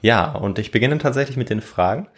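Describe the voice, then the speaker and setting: A man's voice: medium pitch, expressive, brisk. Man telling history on a podcast. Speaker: podcast host and storyteller. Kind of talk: semi-scripted history storytelling in a podcast. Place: warm indoor room.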